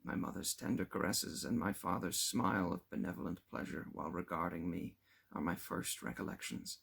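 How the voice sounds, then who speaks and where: A man reading a classic novel aloud as scripted narration, in a measured, expressive way with a gravelly, husky voice. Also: deep voice. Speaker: audiobook reader.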